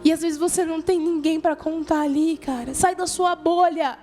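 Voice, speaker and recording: Female youth preacher, Brazilian accent, high-pitched, chatty storytelling delivery, faint music underneath. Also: fairly even intonation, moderate pace, dark tone. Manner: colloquial, conversational, addresses listeners directly, acts out dialogue. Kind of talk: informal youth sermon with storytelling.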